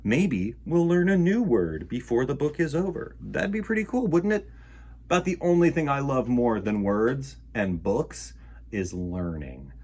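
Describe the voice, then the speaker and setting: Animated, slow-paced man hosting children's storytime. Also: medium pitch. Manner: animated, theatrical, playful exclamations and gasps; addresses young listeners directly. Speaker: host of a children's storytime show. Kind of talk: children's picture-book read-aloud with playful chat.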